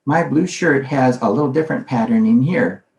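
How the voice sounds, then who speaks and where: A man's voice: medium pitch, moderate pace, darkish tone. A man giving a recorded conference talk on language teaching, conversational, often asking himself questions aloud. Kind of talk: recorded presentation lecture. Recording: roomy echo.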